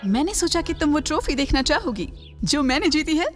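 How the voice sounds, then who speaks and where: A woman's voice: sits high, very animated, fairly quick. A woman playing a snobbish, gloating rival girl in a cartoon dub, haughty and condescending. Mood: smug. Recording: loud music behind.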